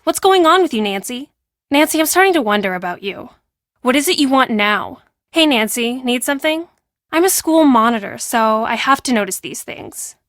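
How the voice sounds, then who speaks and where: Sassy high-pitched teenage hall monitor. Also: female voice, a bit bright, studio quality. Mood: guarded chat. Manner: sassy, dismissive, emphatic. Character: brash, defensive teenage girl, high school hall monitor. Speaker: voice actor in a video game. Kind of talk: acted video game dialogue.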